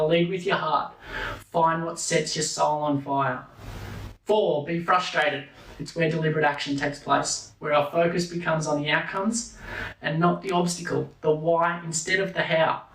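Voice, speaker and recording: A man delivering a motivational talk, counting off numbered points slowly and deliberately. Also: on the high side, fairly bright tone. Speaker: speaker. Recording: echoey room from a distance.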